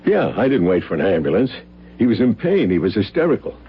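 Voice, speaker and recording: Wstrząśnięty mężczyzna o niskim, chropawym głosie. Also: male voice, lowish voice, sing-song, quick pace, slightly rough, heavily acted. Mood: distressed. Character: shaken, suspicious friend of a dead man. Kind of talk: old-time radio drama acting. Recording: dull old radio recording.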